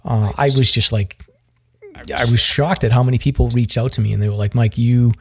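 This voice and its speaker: A man's voice: medium pitch, fairly even intonation, fairly quick. A man with a Boston accent hosting a podcast in casual chat, rambling and using lots of fillers like "like" and "um". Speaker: podcast host.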